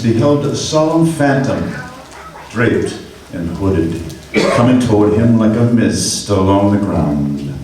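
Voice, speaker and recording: A man narrating a radio play in a measured, dramatic manner, heard on an old broadcast recording. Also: fairly low pitch, darkish tone, heavy reverb, far from the mic, low-quality recording. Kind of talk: scripted narration.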